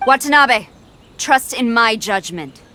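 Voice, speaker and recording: A woman playing a dutiful military general in a game, formal, measured and authoritative. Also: fairly high pitch, lively intonation, pushed and bright, slight background noise. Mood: urgent, firm. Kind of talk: game voice acting.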